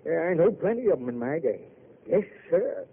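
A husky, boastful old desert grandpa. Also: male voice, fairly high pitch, sing-song, normal speed, heavily acted. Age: older. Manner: folksy, rambling, ungrammatical drawl. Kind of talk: 1930s radio drama acting. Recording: tinny old radio broadcast.

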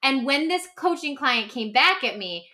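A woman hosting a podcast as a coach, talking casually and emphatically in an animated, informal way. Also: high-pitched, normal speed. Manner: animated, emphatic, acts out imagined quotes; informal, peppered with "like". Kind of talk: casual conversational podcast talk.

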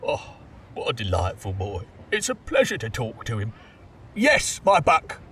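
A man's voice: medium pitch, wildly swooping pitch, measured pace, pushed and bright, distant mic, a little hiss or hum, full character voice. A man playing an elated, breathless old miser turned generous in an audio drama, exclaiming with exuberance. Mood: delighted excitement.

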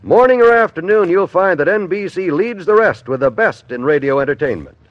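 A man's voice: high voice, animated, fairly quick. A man with an American accent doing polished, upbeat scripted announcing in 1950s radio style. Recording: dull vintage radio recording.

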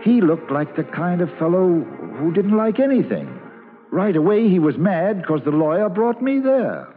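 A man's voice: high-pitched, very animated, normal speed. An actor playing a plain-spoken American man who fondly and wistfully narrates his boyhood in an old-time radio drama. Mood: wry, reminiscent. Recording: muffled old radio recording with music.

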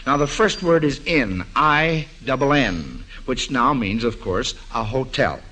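A man's voice: medium pitch, animated, measured pace, bright, forceful tone. An American man hosting a 1940s-style radio quiz, addressing child contestants in an avuncular way on an old broadcast recording. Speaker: quiz show host.

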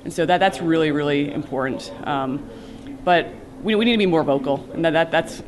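A cybersecurity professional giving earnest advice in a conference interview, with many fillers and restarts. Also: male voice, fairly high pitch, fairly quick, a crowd in the background.

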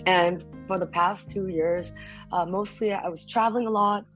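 A young woman from Malaysia, a startup founder, explaining her work in a measured, businesslike way as a podcast guest. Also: mid-range voice, steady tone, a bit bright, muffled recording, faint music behind. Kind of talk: casual podcast interview.

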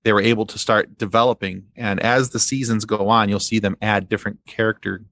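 Low-voiced man chatting in dry sound. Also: fairly even intonation, fairly quick, fairly bright tone, dry recording. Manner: rambling, repeats phrases. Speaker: podcast guest. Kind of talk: casual fan discussion.

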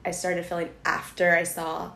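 A woman's voice: lowish voice, completely flat delivery, creaky, pushed and bright, roomy sound. A woman co-hosting a podcast, playful and giggly, chatting and joking casually. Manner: playful, giggly, quick to joke. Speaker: podcast co-host. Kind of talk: casual chat.

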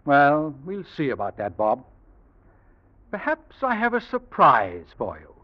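An actor playing a suave, scheming Englishman in an old radio drama, with a husky voice and a smooth, theatrical, insinuating manner. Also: fairly high pitch, huge pitch swings, measured pace. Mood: accusing, defiant. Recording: dull, muffled old radio recording.

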